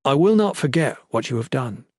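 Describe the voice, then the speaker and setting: A man's voice: mid-range voice, a little fast, no echo, studio quality, pitched above the performer's own voice. A man voicing a loyal, noble young male guardian who speaks firmly and formally in a fantasy storybook. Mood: earnest, grateful. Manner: firm, flat, formal.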